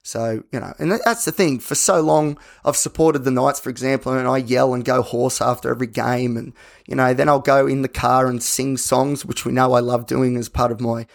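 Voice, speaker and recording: An Australian man talks candidly as a solo podcast host. His voice is a bit croaky with plenty of fry, at an average pitch. He rambles conversationally and often corrects himself. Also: steady tone, moderate pace. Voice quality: slightly croaky.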